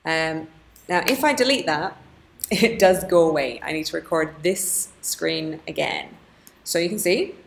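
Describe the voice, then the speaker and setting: A woman with a low voice and a noticeable creak talks through an on-screen tutorial. She sounds like a teacher showing colleagues the steps, chatty and self-deprecating, thinking aloud as she goes. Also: fairly bright tone. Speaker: teacher giving a software tutorial. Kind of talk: informal instructional screen-recording walkthrough.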